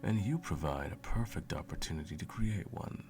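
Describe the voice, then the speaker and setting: A man playing a cruel, smug, all-powerful sorcerer in an ASMR roleplay, with a slow, condescending, menacing drawl and a gravelly voice. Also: extremely low pitch, lively intonation. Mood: musing, cruel. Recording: music playing under the voice. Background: music.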